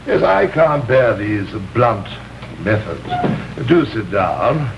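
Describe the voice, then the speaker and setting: A genteel alien villain in human form, speaking in a formal, halting, courteous way with quiet menace. It is old television drama, with a muffled soundtrack and background noise. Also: male voice, mid-range voice, sing-song, vocal fry, heavily acted. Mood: genteel, hesitant. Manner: courtly, hesitant, polite, formal phrasing. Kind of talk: 1950s live television drama acting.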